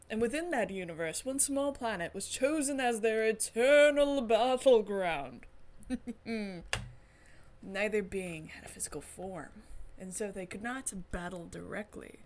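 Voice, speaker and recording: A woman hosting a game stream, reading story text aloud dramatically with giggly, enthusiastic asides. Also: medium pitch. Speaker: gaming channel host streaming a visual novel.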